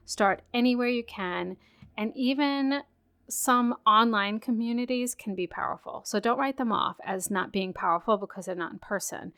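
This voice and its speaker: A woman's voice: medium pitch, a bit bright, clean tone. A woman hosting a self-care podcast, talking casually with frequent fillers and an encouraging tone, her voice a bit worn after a virus. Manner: chatty, many "like" fillers, encouraging. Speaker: podcast host. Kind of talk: casual solo podcast talk.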